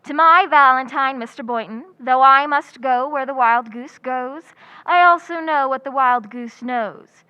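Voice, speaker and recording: A woman's voice: sits high, measured pace, smooth, highs cut off. A woman playing a wry, lovelorn schoolteacher in old-time radio comedy, with dry, theatrical wisecracks. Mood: wryly resigned. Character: wry, lovelorn female high school English teacher.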